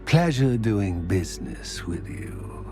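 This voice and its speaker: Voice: deep voice, big pitch swings, slow, music in the background, full character voice. An actor voicing a mischievous, theatrical poltergeist in a video game, with a pompous, drawling delivery. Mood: gleeful, scheming. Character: mischievous, theatrical poltergeist fond of causing chaos. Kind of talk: video game character acting.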